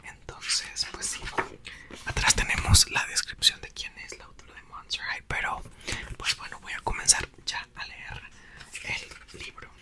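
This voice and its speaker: Male ASMR reader, breathy whisper, lively bright tone. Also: measured pace. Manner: soft unvoiced whisper throughout. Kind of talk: whispered ASMR book reading.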